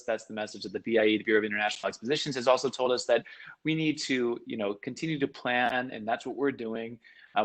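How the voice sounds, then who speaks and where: A man's voice: medium pitch, rough recording. A man speaking as an expo pavilion official in a videoconference panel, businesslike with many fillers. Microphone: video call.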